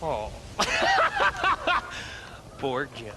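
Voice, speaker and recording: High, sneering American outlaw boss. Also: male voice, huge pitch swings, drawn-out words, heavy background noise, heavily acted. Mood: gloating, menacing. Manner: sneering, taunting, theatrical drawl. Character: mocking, menacing outlaw gang leader, adult man. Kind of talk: video game western acting.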